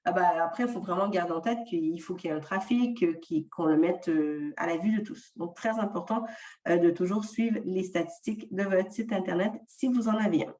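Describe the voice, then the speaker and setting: A woman's voice: on the low side, moderate pace, dark tone, reverberant, far from the mic. A woman with a slight non-French francophone accent presenting an online workshop on tools for freelancers, explaining with frequent fillers.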